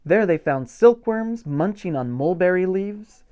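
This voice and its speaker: A man reading a picture book aloud as a pastor at storytime, with gentle expression and simple asides for young children. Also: high voice, measured pace, clean tone, lo-fi sound. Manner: gentle, expressive, stretches key words for emphasis.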